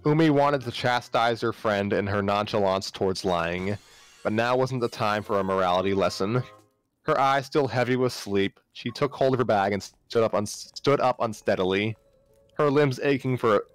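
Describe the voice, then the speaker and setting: A man's voice: fairly low pitch, clean tone, no echo. A man narrating a story live on a stream, often stumbling and repeating phrases as he reads aloud. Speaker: narrator, livestream reader. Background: background music.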